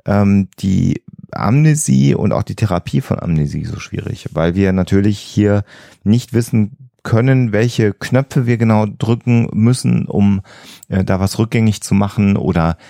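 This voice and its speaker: A man's voice: on the low side, a little slow, vocal fry, dark tone, no room sound, studio quality. A man who explains things as a podcast host, often rephrasing himself as he goes.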